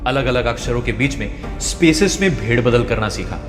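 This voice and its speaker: A man reading a scripted motivational story in a measured, storytelling way. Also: medium pitch, lively intonation. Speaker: narrator. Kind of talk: scripted motivational narration of a translated speech. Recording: echoey, long reverb, music behind. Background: background music.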